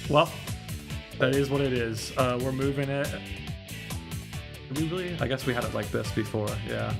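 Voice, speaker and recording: Guy streaming a game, slangy offhand chat, thinking out loud, music playing behind, average pitch. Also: normal speed, gravelly. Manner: slangy, thinking aloud, mild swearing. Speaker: livestreamer playing a visual novel. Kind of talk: casual livestream chat while troubleshooting.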